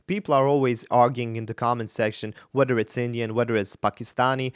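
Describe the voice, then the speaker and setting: A man's voice: medium pitch, no room sound, studio quality. Chatty man from the Balkans. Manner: chatty, laughs often, halting over unfamiliar words. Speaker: vocal coach and reaction host. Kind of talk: casual reaction commentary. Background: music playing faintly.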